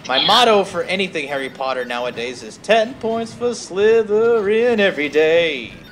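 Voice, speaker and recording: A man chatting casually as he streams a game, telling stories haltingly and drifting off on tangents, with some noise in the recording. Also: high voice, lively intonation, a bit bright. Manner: halting, tangent-prone storytelling. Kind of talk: casual rambling chat over gameplay.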